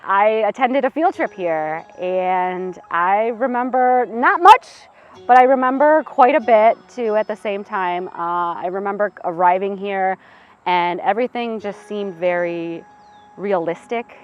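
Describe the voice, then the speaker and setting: A woman's voice: medium pitch, unhurried. A woman in her thirties from Illinois, a museum program coordinator answering interview questions in an earnest, reflective way with frequent "uh" and "um". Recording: slightly muffled audio with faint music behind.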